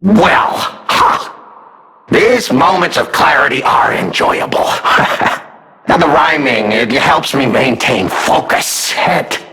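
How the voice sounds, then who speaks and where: An actor playing a manic, mocking, murderous puppet in a video game, with theatrical delivery that is gleefully unhinged. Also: male voice, medium pitch, expressive, slow. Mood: unhinged, insistent. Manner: theatrical, gleefully unhinged, swinging between hushed and shouted. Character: manic, mocking, murderous male puppet, self-styled king of riddles.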